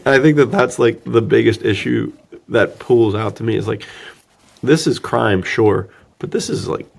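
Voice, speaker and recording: A man's voice: medium pitch, normal speed, gravelly, husky. A man co-hosting a podcast, chatting casually and jokingly about film in an informal way. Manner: informal, joking, prone to chuckling. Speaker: podcast co-host.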